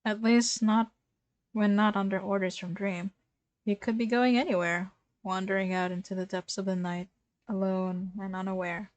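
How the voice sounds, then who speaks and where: A woman's voice: medium pitch, level tone, normal speed, no room sound, dull audio. A woman reading a story aloud informally to friends, giggly and ready to break off and react. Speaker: fan fiction reader.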